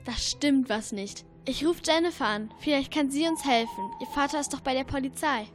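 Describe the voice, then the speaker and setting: High-voiced determined girl detective, played by a female. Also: normal speed, faint music behind, close to an everyday voice. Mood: accusing, determined. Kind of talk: children's radio play acting.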